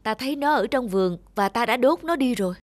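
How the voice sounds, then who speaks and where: A woman's voice: on the high side, expressive, quick pace, dry recording, studio quality, close to an everyday voice. A woman voicing an earnest, lovestruck young prince in a fairy-tale cartoon, with formal phrasing. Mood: tender.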